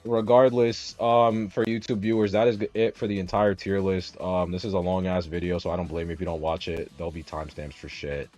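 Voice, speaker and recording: Ranting male streamer, profane and animated. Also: low-pitched, a little fast, hardly any pauses. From Dominican Republic. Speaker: streamer host. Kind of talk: casual gaming stream chat. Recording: slightly dull stream audio with music.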